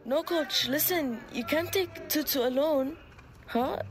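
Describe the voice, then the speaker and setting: A woman's voice: high voice, faint music behind. A woman voicing an eager, cheeky ten-year-old boy who dreams of football, talking in a scheming, playful way. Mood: fibbing. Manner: cheeky, fast-scheming, plays commentator.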